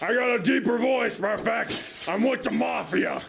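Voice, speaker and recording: A young man putting on the voice of a tough, swaggering mafia son with a deeper voice, in a joking game commentary. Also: animated, normal speed, creaky, background noise, heavily acted.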